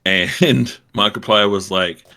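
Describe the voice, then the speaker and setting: A man's voice: lowish voice, slow pace, bright, forceful tone. A young man, co-hosting a podcast in a laid-back, rambling chat that is broken by frequent laughs. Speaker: podcast co-host. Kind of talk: casual chat.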